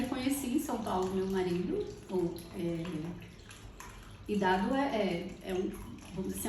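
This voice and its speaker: A Brazilian woman speaking reflectively and conversationally as an interview guest, searching for words as she goes. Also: fairly high pitch. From Brazil, born in Salvador, lived in Teresina. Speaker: podcast guest, architect. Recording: very echoey, distant, noisy with running water. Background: water fountain trickling.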